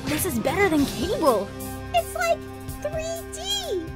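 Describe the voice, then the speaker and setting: Woman acting excitable cartoon teenage girl, very high pitch, wildly animated, loud music behind. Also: slow pace, heavily acted. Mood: excited. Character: spirited teenage cartoon girls, bold and excitable.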